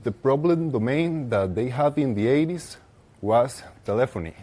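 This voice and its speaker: A young man with a Costa Rican Spanish accent giving a technical presentation as a conference speaker, haltingly, with pauses mid-phrase. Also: mid-range voice, animated, warm tone. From Costa Rica. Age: young adult. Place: lecture room with seated audience, indoors.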